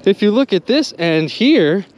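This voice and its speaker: A young man vlogging from a small boat on a river, exclaiming excitedly as he talks to his viewers. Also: sits high, measured pace, lo-fi sound. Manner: animated, exclaiming, chatty with viewers. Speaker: magnet fishing vlogger. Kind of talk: excited casual narration to viewers.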